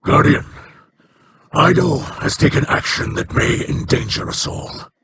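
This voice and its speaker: An actor playing a solemn alien ally who speaks in slow, formal, measured sentences, in a noisy game audio rip. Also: male voice, on the high side, lightly acted. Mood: grave.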